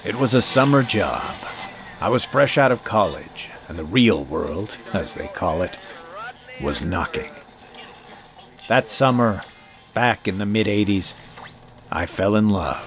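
A wistful man looks back on his youth as acted by a male performer in a radio drama. His low voice moves slowly in deliberate fragments, with music playing clearly behind it. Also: expressive. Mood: wistful, brooding. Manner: deliberate, broken phrases. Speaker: actor narrating an audio drama. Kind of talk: first-person scripted narration.

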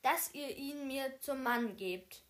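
A man's voice: extremely high pitch, slow pace, fairly bright tone, pitched above the performer's own voice, exaggerated voice. A man voicing a bold young woman knight. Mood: proud. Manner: proud, commanding.

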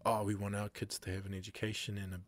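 A man's voice: fairly low pitch, flat delivery, fast talker, dry recording. A man with a New Zealand accent hosting a podcast, laid-back and casual, laughing often and calling his guest "bro.". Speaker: podcast host. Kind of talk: casual chat.

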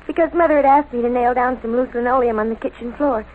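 A woman's voice: a little high, fast talker, phone-quality sound, close to an everyday voice. A young American woman playing a nervous, lovestruck teenage girl in a 1940s radio drama, hesitant and earnest as she confides. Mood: nervous, confessing. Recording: old radio broadcast with music behind.

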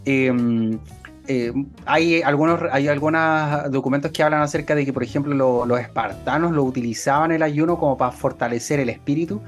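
A young Chilean man co-hosting a podcast, relaxed and slangy, often hesitating mid-thought. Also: on the high side, moderate pace, soft background music. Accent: Chilean Spanish. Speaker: podcast co-host. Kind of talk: casual chat.

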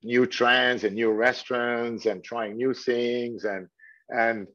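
A man's voice: mid-range voice, level tone, takes it slowly, a crowd in the background. A middle-aged man from Switzerland whose first language is French, answering interview questions with many fillers and restarts. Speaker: hotel general manager. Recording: dull lo-fi sound.

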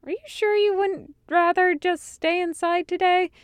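A woman's voice: very high voice, steady tone, unhurried, no echo, clean studio sound, pitched above the performer's own voice. A woman voicing an innocent, anxious young woman in a story, flustered, hesitant and eager to please. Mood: hopeful. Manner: flustered, hesitant, literal-minded.

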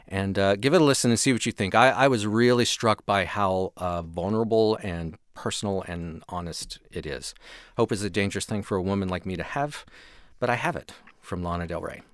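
A man's voice: low-pitched, brisk, no echo, clean studio sound. A man co-hosting a music show, talking casually in an enthusiastic, earnest way.

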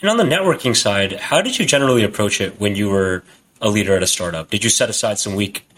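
A man hosting a podcast interview, asking questions in a brisk, reassuring manner. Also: fairly low pitch, animated, fairly bright tone. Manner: brisk, reassuring, quick to laugh. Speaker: podcast host.

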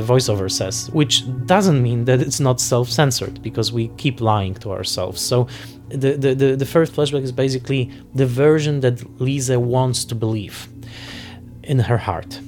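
A man gives unscripted analytical commentary in the manner of an interviewed film critic. He is deliberate and emphatic, pausing to find the exact word, at a middling pitch. Faint music plays behind him. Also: a little mellow.

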